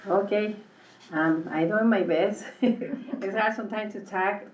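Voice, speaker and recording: A woman's voice: mid-range voice, measured pace, slight background noise. A woman from Mexico with a Mexican Spanish accent, a world language teacher speaking simple, broken English in short phrases at a meeting. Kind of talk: presenting at a school committee meeting. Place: school meeting room. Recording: echoey room, distant, muffled.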